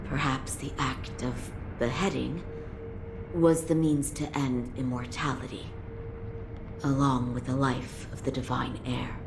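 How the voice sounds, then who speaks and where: A woman voicing a calm, compassionate but troubled woman in a game cutscene, soft-spoken, polite and earnest. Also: a bass voice, measured pace, gravelly, noisy background, full character voice. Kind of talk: dramatic game cutscene acting.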